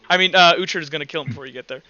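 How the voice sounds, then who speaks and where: A man working as game master for a tabletop roleplaying game, narrating off the cuff in a casual, halting way. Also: a little high, sharp tone. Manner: casual, halting, uses filler words. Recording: dull lo-fi, music underneath. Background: background music.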